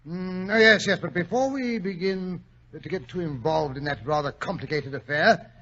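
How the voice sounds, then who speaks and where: An actor playing a genial, fussy English gentleman storyteller in a 1940s radio drama, bumbling and chatty with little exclamations. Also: sits high, normal speed. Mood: genial, reminiscing. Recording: dull 1940s radio recording.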